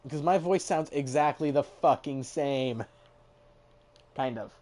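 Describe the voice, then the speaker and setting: Slow-talking young man streaming a game. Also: on the high side, mellow. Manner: self-mocking, swears casually, hums and sings along. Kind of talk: casual gameplay chat.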